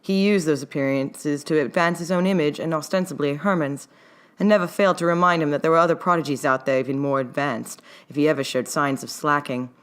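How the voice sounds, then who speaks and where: A woman with a low-pitched voice narrates fiction from a script. She reads as the author of the story, in the manner of an audiobook. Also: steady tone, brisk, clear, resonant tone. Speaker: author reading own fan fiction.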